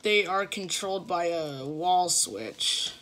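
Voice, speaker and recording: Slow, halting young man. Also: high-pitched, sharp tone. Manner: slow, hesitant, with fillers. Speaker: gamer showing a game build. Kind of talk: casual walkthrough narration. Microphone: phone filming a computer screen.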